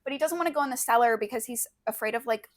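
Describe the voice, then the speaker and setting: A woman co-hosting a casual book podcast, speaking in a halting, thoughtful way with plenty of "sort of" and "like". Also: a little high. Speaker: podcast co-host discussing short fiction. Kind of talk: casual, unscripted book chat.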